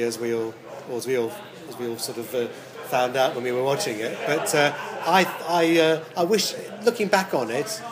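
A man, an actor guest in a casual pub interview, speaking hesitantly with many halting pauses. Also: medium pitch. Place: busy pub. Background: crowd chatter.

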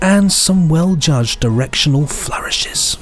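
A man's voice: a little high, lively intonation, slow, faint music behind. Male podcast co-host reading out reviews. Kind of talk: scripted review reading with light banter.

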